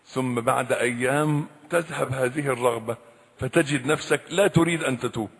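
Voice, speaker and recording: A high-voiced preacher whose pitch leaps and falls as he pleads, cries out, then drops to a hushed warning. His tone is bright and forceful. His voice rings through a reverberant hall. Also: husky. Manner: impassioned, swings between loud cries and hushed low warnings. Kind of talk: sermon. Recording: echoey hall with long reverb.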